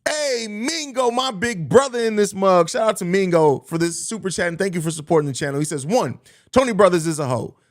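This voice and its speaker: A man hosts a live sports reaction stream, reading viewer comments one after another and answering each in an easygoing, talkative way. His voice sits a little high for a man. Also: expressive, a little fast. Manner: conversational, reads viewer comments and riffs on them. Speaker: sports podcast host.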